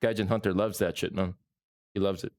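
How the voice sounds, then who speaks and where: A man's voice: low-pitched, steady tone, fairly quick, darkish tone. A man of thirty from the Philippines, hosting a gaming podcast in a playful, joking way. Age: 30s. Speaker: podcast host. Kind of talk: casual gaming podcast chat.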